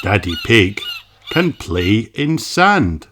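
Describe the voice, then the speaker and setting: A man reading picture books aloud for children in a slow, playful, exaggerated storytelling way. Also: lowish voice, very animated, over loud music. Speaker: storybook reader.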